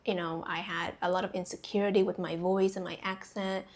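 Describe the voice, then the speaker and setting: A woman's voice: fairly low pitch, little pitch movement, brisk. A young adult woman from Vietnam, a spiritual coach giving a chatty intuitive reading straight to her viewers, full of fillers and restarts. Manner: chatty, many fillers and restarts, laughs easily. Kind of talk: casual intuitive reading to camera.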